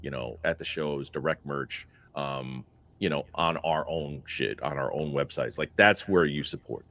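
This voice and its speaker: Male guest artist, very deep voice, candid off-the-cuff chat, curses freely. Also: a bit bright. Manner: blunt, swears freely, quick asides. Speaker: guest musician and mixer. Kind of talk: casual livestream chat.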